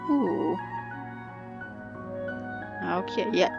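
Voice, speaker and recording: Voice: medium pitch, expressive, very slow, gravelly, lo-fi sound. A video game streamer giving casual gameplay commentary in short muttered exclamations, with game music behind.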